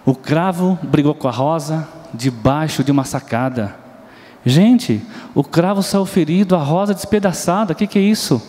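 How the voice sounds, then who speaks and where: Brazilian male preacher, mellow tone, storytelling sermon, reverberant church hall. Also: a little high, moderate pace. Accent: Brazilian Portuguese. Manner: storytelling, asks the congregation questions, sings bits of nursery rhymes.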